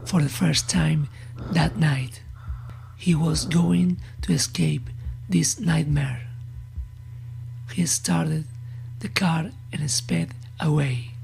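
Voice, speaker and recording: A woman narrating a scripted horror story in a hushed, breathy, suspenseful way. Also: low-pitched, steady tone, slow, pauses often, poor audio.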